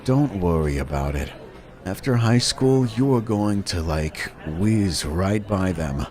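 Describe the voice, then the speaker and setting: A man's voice: sits low, animated, takes it slowly, darkish tone, a faint crowd. A man voicing a cocky, laid-back rich teenage boy who talks in breezy slang. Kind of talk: fan dub voice acting.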